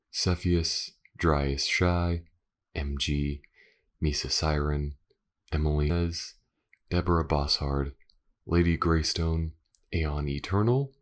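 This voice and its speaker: A young male voice actor signing off from his channel. He talks casually and a little rambling, full of "like" and "uh". The tone is relaxed and friendly toward his listeners. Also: a bass voice. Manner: chatty and loose, with fillers like "like" and "uh". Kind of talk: casual outro chat.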